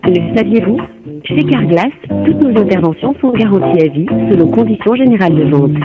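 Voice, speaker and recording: A woman delivers a scripted hold-line announcement with strongly varied intonation. The sound is muffled like a phone line, and loud guitar music plays along with her. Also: mid-range voice, expressive, fairly quick.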